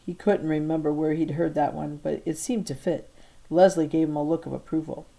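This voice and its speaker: Lower-pitched woman reading a story aloud. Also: brisk, vocal fry, poor audio. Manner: expressive reading with stumbles and repeated words. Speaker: teacher reading to her class. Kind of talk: storybook narration read aloud.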